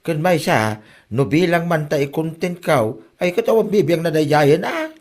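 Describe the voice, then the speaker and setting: A man's voice: on the high side, expressive, moderate pace. A man with an Ilocano accent playing a grumbling, thrifty husband and father in a comedy drama, exasperated about money.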